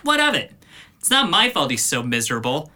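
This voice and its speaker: A man's voice: a little high, huge pitch swings, fairly quick, bright, forceful tone, full character voice. A man playing a resentful, sarcastic young son in an audio drama, sullen and grudging in manner. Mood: grudging, bitter.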